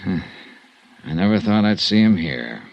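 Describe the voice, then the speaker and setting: A man's voice: deep voice, sing-song, a little slow, creaky, muffled recording, exaggerated voice. An actor playing a dry, wry male insurance investigator in a 1950s radio drama, narrating in a clipped report style. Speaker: narrator and lead.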